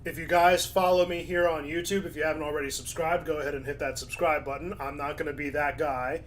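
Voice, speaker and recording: A man's voice: on the high side, steady tone, fast, a little hiss or hum. A man streaming casually, reading chat aloud and commenting in a laid-back way, his voice starting to go.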